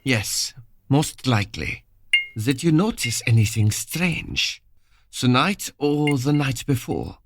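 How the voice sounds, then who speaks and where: A man's voice: medium pitch, big pitch swings, slow pace, a little hiss or hum. An actor playing an earnest military captain and devoted father in an audio drama. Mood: grave.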